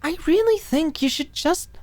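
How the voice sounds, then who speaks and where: A woman's voice: very high voice, lively intonation, a little slow, darkish tone, pitched above the performer's own voice. A woman voicing a spunky, blunt young woman in an audiobook drama, exasperated and stern with her friend. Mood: stern reprimanding. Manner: stern, teasing, reprimanding.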